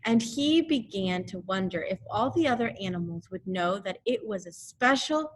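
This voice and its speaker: A woman teacher reading a children's story aloud in an expressive narrating style. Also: medium pitch, moderate pace, slight background noise, low-quality recording.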